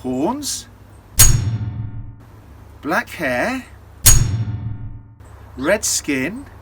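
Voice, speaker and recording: A man voicing a wary, gruff innkeeper in a medieval folktale, suspicious and emphatic. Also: sits high, sing-song, drawn-out words, heavy background noise, voice pushed up, exaggerated voice. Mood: suspicious, indignant. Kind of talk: storybook character voice in oral storytelling.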